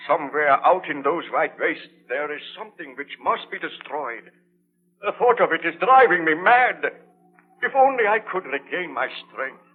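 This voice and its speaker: An actor playing an obsessed, excitable baron and scientist in a melodramatic, declamatory 1930s radio drama style. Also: male voice, on the high side, normal speed, slightly rough. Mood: anguished, driven. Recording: tinny, noisy 1930s radio recording.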